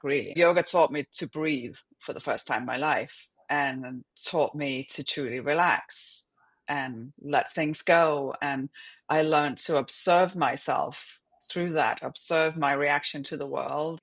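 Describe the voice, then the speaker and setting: A woman's voice: sits low, a bit bright. Middle-aged woman from Denmark, conversational. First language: Danish. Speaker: podcast guest, author and yoga teacher. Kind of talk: conversational interview talk.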